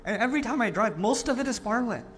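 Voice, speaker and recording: A man from Pakistan giving an animated Islamic lecture to a live audience, often putting on mocking voices for the people he quotes. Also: very high voice, fast, a little hiss or hum.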